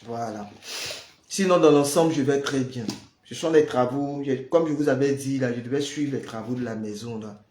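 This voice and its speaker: A man's voice: medium pitch, normal speed, a little mellow, roomy sound. A man with the flu, chatting on a live prayer stream. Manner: chatty, greets viewers by name. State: has the flu. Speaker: prayer leader on a livestream. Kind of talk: casual livestream chat. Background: noise of building work.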